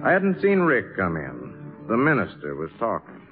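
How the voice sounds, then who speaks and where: An actor with an American accent playing a somber narrator recounting a friend's tragedy in a 1950s radio drama. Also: male voice, on the low side, huge pitch swings, moderate pace. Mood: somber, reflective. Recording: muffled vintage radio, music behind.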